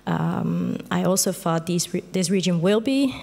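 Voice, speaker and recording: A woman in her thirties, a journalist whose first language is Dutch, answering thoughtfully with many pauses on a stage panel. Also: lowish voice, gravelly, darkish tone. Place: theater stage with audience. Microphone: small headset mic.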